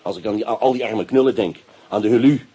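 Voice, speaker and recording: An actor playing a shrewd, determined police inspector who presses with pointed questions, in a radio play. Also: male voice, lowish voice, quick pace, husky, highs cut off. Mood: firm. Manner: sharp, insistent, probing. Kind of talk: radio drama acting.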